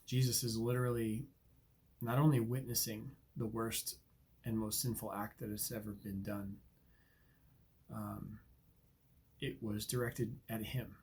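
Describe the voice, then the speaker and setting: Devotional host, man, congested, husky and low, unhurried reflective delivery. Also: little pitch movement, darkish tone. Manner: earnest, reflective, with many ums and uhs. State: stuffy from allergies, sniffling. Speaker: Bible reading podcast host. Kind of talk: devotional commentary and scripture reading.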